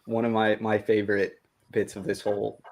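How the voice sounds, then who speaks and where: A man's voice: lowish voice, completely flat delivery, moderate pace, darkish tone. A man chatting casually as part of a book discussion. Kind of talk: casual chat.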